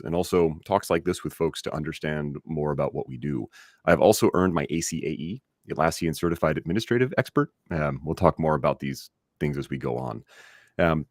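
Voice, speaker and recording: A man's voice: a bass voice, a little mellow, no echo, clean studio sound. A man hosting a live online session, chatty and upbeat, speaking straight to his audience over a video call. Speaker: host and trainer.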